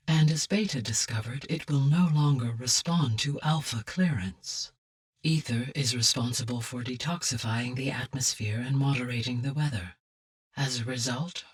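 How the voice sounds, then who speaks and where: A woman playing a calm, caring, erudite artificial intelligence in a video game, speaking formally and precisely in a low, husky voice. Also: far from the mic, exaggerated voice. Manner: formal, measured, precise diction. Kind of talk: scripted video game dialogue.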